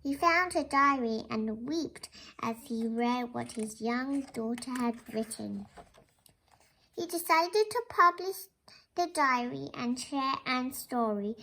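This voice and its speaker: A woman's voice: on the high side, fairly bright tone, rough recording. A young girl reading a children's storybook aloud as narration. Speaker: reader of a children's book. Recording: clear background noise.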